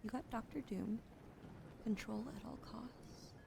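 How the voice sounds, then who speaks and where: A woman livestreaming a soft-spoken ASMR card reading, often slipping into a whisper, chatty and affectionate with her viewers, close to a desk mic. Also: a little high, fairly even intonation, measured pace, gravelly, noisy background. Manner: soft, gentle, partly whispered delivery. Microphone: close desk microphone.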